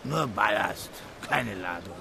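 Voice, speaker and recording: An actor playing a gruff, stubborn male ship captain in a radio play, answering in short, blunt replies. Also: fairly low pitch, expressive, takes it slowly, slight background noise, exaggerated voice. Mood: curt.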